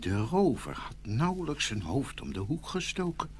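A man's voice: medium pitch, very animated, normal speed, noisy background. A man narrating a scripted fairy tale as a dramatic storyteller.

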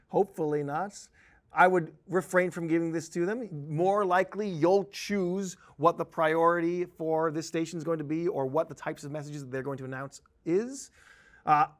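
High-voiced man giving a tutorial. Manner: animated, conversational, emphatic. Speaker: software trainer. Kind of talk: tutorial presentation with on-screen demonstration. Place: indoor presentation studio at a desk.

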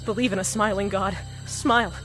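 A woman's voice: medium pitch, fairly even intonation, a little fast, fairly bright tone, close to an everyday voice. Woman acting eerie radio host over music. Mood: panicked, unraveling. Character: lonely radio host system insisting everything is fine, cracking cheerfulness. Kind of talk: scripted fan audio drama, radio monologue.

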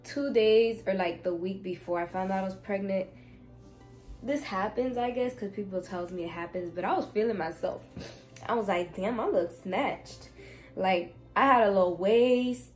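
A woman in her twenties from Miami, telling a casual storytime from her bedroom, animated, swinging from loud exclaiming to hushed asides. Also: medium pitch, moderate pace, faint music behind. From Miami, United States. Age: 20s. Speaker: vlogger. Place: bedroom, indoors. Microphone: camera in front, seated.

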